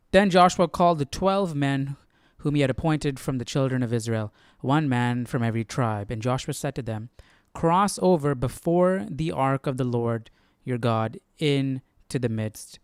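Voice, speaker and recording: A man hosting a casual Bible study stream, reading aloud and thinking out loud. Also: mid-range voice, a little slow, smooth, no room sound, studio quality. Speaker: Bible study livestream host.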